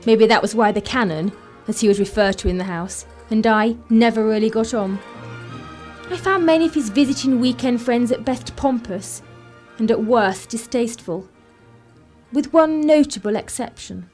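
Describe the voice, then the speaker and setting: Actress as a haughty young Englishwoman, higher voice. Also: normal speed, darkish tone, faint music behind, close to an everyday voice. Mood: wry reminiscence. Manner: disdainful, articulate. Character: discontented, haughty young upper-class Englishwoman, sharp-tongued. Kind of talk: radio play narration and acting.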